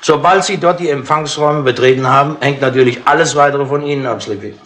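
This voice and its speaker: An actor playing a wry, cajoling police inspector in a 1960s radio crime play, talking in a sardonic, persuasive way. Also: male voice, medium pitch, quick pace, background noise, natural voice. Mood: brisk, businesslike.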